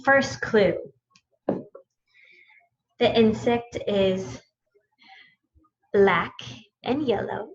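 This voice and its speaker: A woman's voice: mid-range voice, very slow, pauses often, highs cut off. A woman teacher leading a children's story time, speaking in simple phrases with questions and prompts for young listeners.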